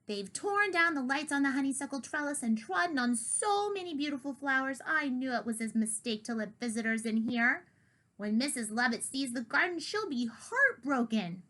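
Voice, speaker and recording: A woman's voice: high voice, animated, normal speed, bright, forceful tone. A woman putting on a grumpy old tomcat. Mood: fretful. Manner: growling, fussy complaint. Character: old male tortoiseshell cat, grumpy and fretful.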